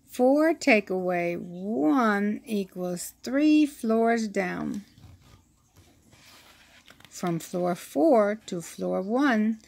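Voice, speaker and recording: A woman reading a children's picture book aloud, with an expressive storytelling delivery. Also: mid-range voice, gravelly. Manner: slow, expressive reading with acted-out sound effects.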